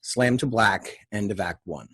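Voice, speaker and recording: A man reading screenplay stage directions at a table read, in measured, short clipped phrases. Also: fairly low pitch, sharp tone, slightly rough.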